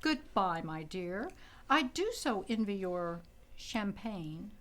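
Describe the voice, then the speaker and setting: A woman's voice: mid-range voice, very animated, takes it slowly, noisy background. An actress in a radio play playing a rich, nosy society woman, arch and gushing, with a catty edge. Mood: arch politeness.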